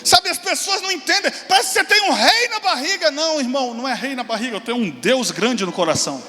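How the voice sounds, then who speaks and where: A pastor in his forties preaches with a very high, lively voice that leaps up in excited exclamations and drops into quick asides. His voice rings with a long reverb through a large church hall, over a faint hum of room noise. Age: 40s. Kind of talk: sermon.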